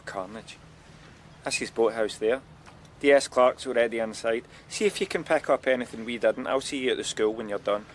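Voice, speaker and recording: A man's voice: mid-range voice, normal speed, a little hiss or hum, natural voice. Clipped male detective played by an actor. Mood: businesslike, grim. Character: matter-of-fact male police detective inspector. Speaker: police detective inspector. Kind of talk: radio crime drama acting.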